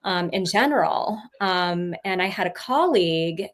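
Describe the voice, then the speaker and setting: A woman's voice: lowish voice, lively intonation, a little slow. A woman author and former teacher in casual livestream chat, rambling with lots of ums and laughing mid-sentence, on a video call.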